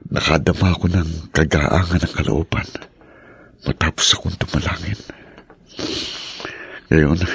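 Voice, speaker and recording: A man's voice: extremely low pitch, gravelly, breathy edge, dry recording, heavily acted. An actor playing a repentant husband who earnestly pleads with his wife for forgiveness in a radio drama. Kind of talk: Filipino radio drama acting.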